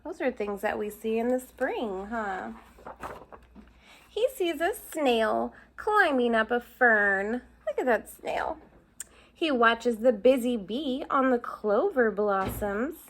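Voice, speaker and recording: A woman's voice: a little high, lively intonation, takes it slowly, slight background noise. A woman teacher reading a picture book aloud to young children, stopping to point out and explain the pictures. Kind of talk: storybook read-aloud for children.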